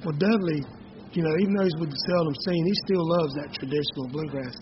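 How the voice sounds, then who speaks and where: A man's voice: a little high, fast, lo-fi sound, noisy background. A man, chatting easily in an interview as a banjo-playing bandleader with folksy phrasing. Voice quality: raspy voice. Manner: easygoing storyteller, folksy phrasing. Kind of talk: casual interview chat.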